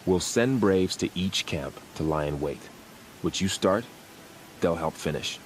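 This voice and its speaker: Loyal young warrior, deep voice, earnest, plain-spoken, game dialogue, faint background noise. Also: male voice, animated, unhurried, poor audio. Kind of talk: video game dramatic dialogue.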